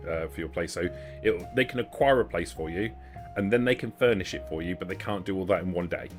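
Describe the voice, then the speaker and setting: A man's voice: deep voice, quick pace, faint music behind. A man as game master, narrating and explaining a tabletop roleplaying game in an explanatory, improvised way.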